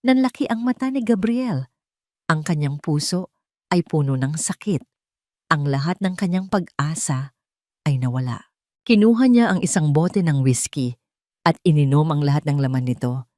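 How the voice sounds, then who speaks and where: A woman narrates a melodramatic story from a script. Her voice sits low, and the sound is dry, with no room echo. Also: lively intonation, moderate pace, long pauses, darkish tone. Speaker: audio drama narrator.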